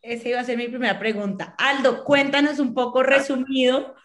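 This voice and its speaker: A woman's voice: sits high, steady tone, fairly quick. A woman co-hosting a live webinar over a video call, talking in an upbeat, chatty way. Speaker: co-host.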